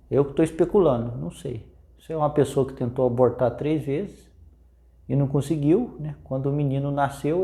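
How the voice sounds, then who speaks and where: A Brazilian man with a fairly high voice and plenty of creaky fry tells history informally, as if explaining it to a friend. His tone is mellow and soft-edged, and he often tags his sentences with little checking questions. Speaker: presenter of a history video. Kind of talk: informal unscripted storytelling.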